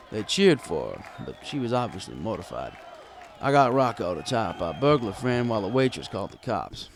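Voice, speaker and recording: A man's voice: on the low side, gravelly, a little hiss or hum. A man reading a first-person story in a wry, conversational storytelling manner. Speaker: fan fiction reader.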